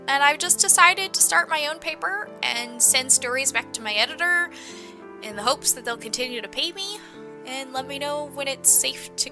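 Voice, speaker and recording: A woman playing a friendly, secretive small-town reporter who rambles through her stories in an improvised audio drama. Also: sits high. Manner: chatty, rambling storyteller. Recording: music bed behind the voice.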